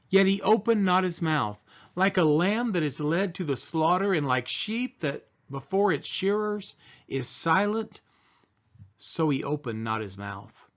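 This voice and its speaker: A man reading the Bible aloud solemnly, in measured, deliberate phrases with pauses. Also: a little high, fairly bright tone. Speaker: scripture reader. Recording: dull, lo-fi sound.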